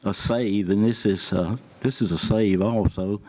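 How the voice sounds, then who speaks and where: Southern emergency services official, low mellow voice, plain-spoken, folksy podium remarks. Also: male voice, steady tone, a little slow, slight background noise. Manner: plain-spoken, many fillers. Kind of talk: public remarks at a meeting. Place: county board meeting room.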